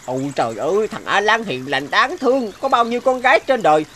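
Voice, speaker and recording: A man's voice: sits high, lively intonation, a little fast, pushed and bright, a little hiss or hum. A man voicing a pitying village onlooker in a folktale cartoon, exclaiming and lamenting. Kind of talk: dubbed folktale cartoon acting.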